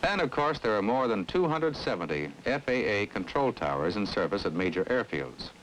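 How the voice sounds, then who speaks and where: Affable American government expert, 1960s training-film style; low, animated delivery, measured and earnest. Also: male voice, low-quality recording. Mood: earnest explaining. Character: affable, earnest government air traffic control specialist. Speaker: actor as air traffic specialist. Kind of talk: scripted 1960s educational film dialogue and narration. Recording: old 1960s film soundtrack, faint noise.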